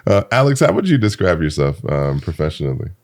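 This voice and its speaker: A man interviewing a guest conversationally as podcast host, in a measured, deliberate way with pauses. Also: deep voice, sing-song, darkish tone, no room sound, studio quality.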